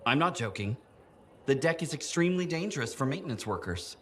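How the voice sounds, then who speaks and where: An actor playing an earnest, fussy safety campaigner in a video game, with a grave, meticulous deadpan delivery. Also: male voice, mid-range voice, fairly quick, slight background noise, lightly acted. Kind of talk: video game dialogue acting.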